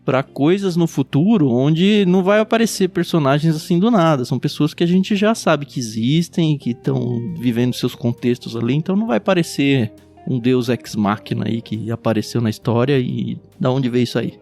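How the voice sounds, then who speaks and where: Brazilian male preacher-host, mid-pitched, candid and teasing, faint guitar under him. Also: normal speed, a little mellow. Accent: Brazilian Portuguese. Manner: candid, easygoing, light teasing. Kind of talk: casual Bible commentary chat.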